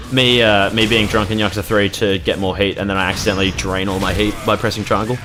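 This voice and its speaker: A man's voice: on the low side, fairly even intonation, fairly quick, over music. A young man casually commentating his gameplay, rambling and swearing freely as he reacts to the game. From Australia. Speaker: gaming YouTuber.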